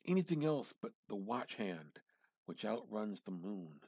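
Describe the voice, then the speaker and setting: A man giving an amateur dramatic reading of literary fiction, theatrical and halting, with pauses and emphatic repetition. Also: on the low side, sing-song, darkish tone, husky, no echo. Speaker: amateur reader.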